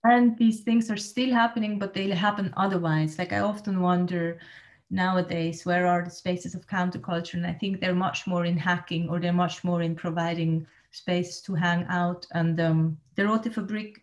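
A woman's voice: on the low side, steady tone, normal speed. A woman in her forties speaks into a laptop webcam from a small room at home. She talks in a thoughtful, searching way, with frequent ums and restarted phrases, like someone presenting to colleagues online. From Switzerland. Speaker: art space director. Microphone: laptop webcam on video call.

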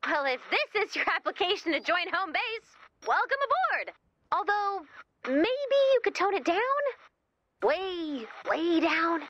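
A woman's voice: very high-pitched, big pitch swings, measured pace, a bit bright, dry recording, lo-fi sound, heavily acted. A woman playing a wisecracking, eager, sarcastic female robot helper in a video game, quippy and playful with rambling asides. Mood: eager, persuasive. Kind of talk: scripted video game character dialogue.

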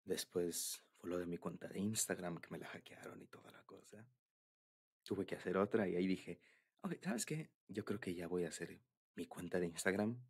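Young male, Mexican accent, deep and breathy, for shy, intimate boyfriend-style ASMR talk. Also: lively intonation, normal speed. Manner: bashful, hesitant, giggly. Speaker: ASMR roleplay creator.

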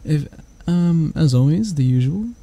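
A man's voice: on the high side, expressive, measured pace, mellow. A man, an audio roleplay creator, chatting casually to his listeners as he signs off. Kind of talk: casual channel sign-off.

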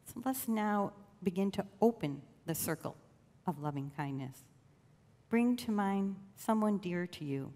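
A woman leading a guided meditation in a slow, gentle, soothing way with long pauses. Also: mid-range voice, animated. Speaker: church meditation leader. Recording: long reverb of a large room.

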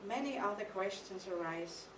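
A woman's voice: medium pitch, expressive, background noise. A middle-aged woman with a German accent, reading a reflective lecture from a podium. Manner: measured, reflective reading with some hesitations. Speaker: artist giving a conference lecture. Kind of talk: reading a prepared lecture, partly poetic. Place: stage of a mid-sized studio hall. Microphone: podium mic on a stand. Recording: echoey hall, from the camera's distance.

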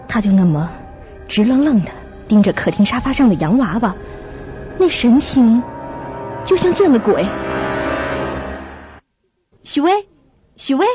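A woman playing a sensible, caring young flatmate who narrates and acts in a radio drama, with a husky, breathy voice. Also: fairly high pitch, a little slow, pauses often, muffled recording, faint music behind. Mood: concerned.

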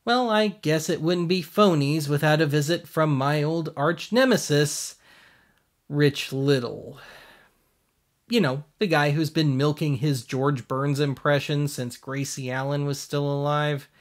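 A man's voice: a little high, fairly even intonation, measured pace. A man hosting casual review commentary in a dry, sardonic way, recorded at home on a condenser microphone. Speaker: host. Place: home room with shelves. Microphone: large condenser microphone.

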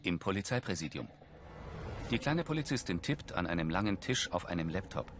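A man's voice: sits low, big pitch swings, brisk, background noise. A man reading scripted audio description narration for a film. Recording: music behind the voice.

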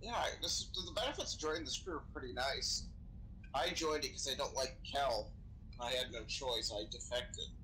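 A man's voice: medium pitch, normal speed, sharp tone. A man improvising in character over game voice chat, explaining things in a casual, sweary way. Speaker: role-play gamer playing a crew member. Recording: noisy gaming voice chat.

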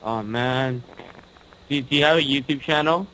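A man's voice: medium pitch, moderate pace, fairly bright tone, low-quality recording. Hesitant young man on a prank call. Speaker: prank caller. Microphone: computer voice call.

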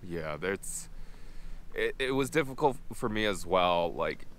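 A man's voice: deep voice, expressive, measured pace, fairly bright tone, slight background noise. A man, casually hosting a food vlog to camera. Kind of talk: casual restaurant review to camera. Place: busy restaurants and an outdoor park. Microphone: camera at about arm's length.

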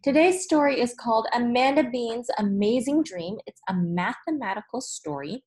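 A woman in her thirties, a teacher reading a storybook aloud to children with deliberate phrasing and expressive emphasis. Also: fairly high pitch. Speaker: elementary school teacher. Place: small indoor room with whiteboard.

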